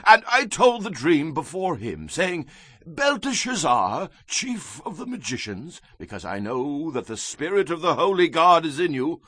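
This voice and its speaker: Raspy, imperious ancient king, swooping theatrically. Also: fairly high pitch, big pitch swings, measured pace, a bit bright, dry recording, full character voice. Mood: solemn, grand. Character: proud, wrathful ancient Babylonian king, commanding and grandiose. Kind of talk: dramatized scripture audio drama.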